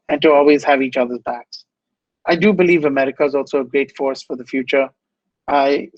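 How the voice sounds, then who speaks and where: Earnest man, high voice, dull dry sound. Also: no room sound. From India. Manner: earnest, passionate, repeats phrases. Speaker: guest speaker. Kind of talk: inspirational interview answers.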